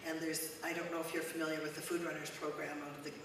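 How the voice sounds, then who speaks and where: Loud-voiced woman in echoey church. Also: deep voice, flat delivery, quick pace. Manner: warm, conversational, with frequent ums. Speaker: guest speaker, charity executive director. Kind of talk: informal talk to a church congregation. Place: church hall. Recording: echoey church hall, mic at a distance, noisy.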